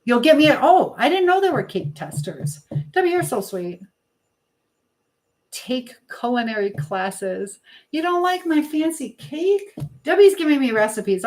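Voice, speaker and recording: A middle-aged American woman chatting casually as a livestream host, reading and answering comments with an encouraging manner, hopping from one thought to the next. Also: a little high. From Michigan, United States. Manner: chatty, jumps between topics mid-thought.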